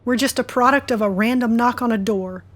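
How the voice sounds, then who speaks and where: A woman's voice: a little high, brisk, lightly acted. A woman acting in an audio drama as a defiant, sarcastic woman with a teasing, flirty streak. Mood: wry, guarded. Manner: sardonic, teasing.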